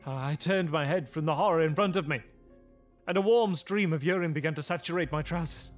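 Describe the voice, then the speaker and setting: Frightened young male survivor, played by a man, grave theatrical horror storytelling, somewhat high voice. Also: a little fast, faint music behind, natural voice. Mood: grave, haunted. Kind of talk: dramatic first-person gothic horror narration.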